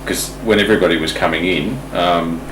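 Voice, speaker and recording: A deep-voiced man explains things in a casual, halting way, often repeating a word. He sounds some distance from the mic in an echoing room with faint noise. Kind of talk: informal explanatory talk.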